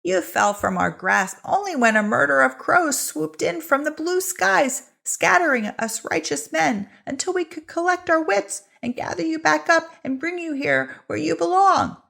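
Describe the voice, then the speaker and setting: A woman's voice: high-pitched, lively intonation, unhurried, gravelly, few pauses, a bit bright, pitched above the performer's own voice, exaggerated voice. A woman voicing a rustic, self-righteous townsman who calls out indignantly in folksy speech, as part of a story reading. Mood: defensive. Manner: folksy, dialect grammar, indignant.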